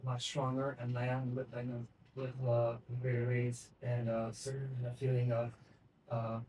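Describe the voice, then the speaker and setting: Flat-toned man, distant and hesitant. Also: mid-range voice, measured pace. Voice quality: flat, hesitant delivery with fillers. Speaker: group participant giving feedback. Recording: distant mic with faint room noise.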